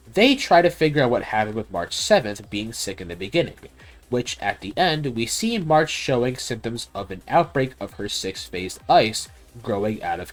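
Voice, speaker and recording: Guy doing a game review vid, blunt n casual, really animated voice, bit of music behind him. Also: mid-range voice. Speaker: gaming video reviewer. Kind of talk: opinionated video game review commentary.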